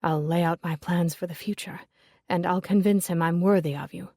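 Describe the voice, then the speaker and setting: Woman doing Julius, an earnest young gentleman. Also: on the low side, fairly even intonation, normal speed, no echo.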